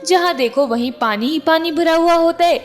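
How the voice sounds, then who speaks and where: A woman narrates a children's moral tale from a script in a high-pitched voice. Music plays clearly behind her storytelling. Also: normal speed.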